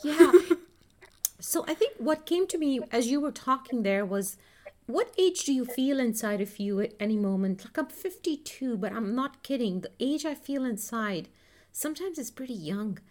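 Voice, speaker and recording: A woman's voice: on the high side, expressive, moderate pace, a little mellow. A woman in her fifties chatting in a reflective, easy way, laughing now and then as she talks. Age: 50s. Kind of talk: casual reflective chat.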